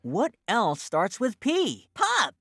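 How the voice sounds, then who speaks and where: A woman's voice: on the high side, huge pitch swings, takes it slowly, vocal fry, a bit bright, no room sound, studio quality, full character voice. A woman voicing an upbeat teacher character in a children's educational cartoon, with an exaggerated delivery for young children. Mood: excited, curious.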